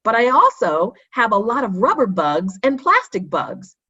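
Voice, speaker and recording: A woman's voice: fairly high pitch, sing-song, moderate pace, pushed and bright, slightly rough, highs cut off, voice pushed up, heavily acted. A woman voicing a keen, earnest schoolgirl who explains and speaks up in a children's storybook. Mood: proud, keen.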